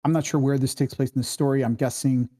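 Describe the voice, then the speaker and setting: Mellow voice, middle pitch, casual halting feedback with lots of pauses. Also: fairly even intonation. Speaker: table-read participant giving feedback.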